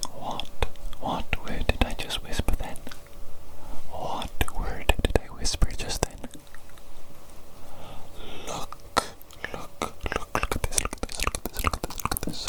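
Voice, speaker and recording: An ASMR performer voicing an evil doctor who tends a creature, whispering in a breathy, repetitive, politely instructing way. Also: natural voice. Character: evil doctor calmly tending a big creature patient. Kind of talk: whispered ASMR medical roleplay.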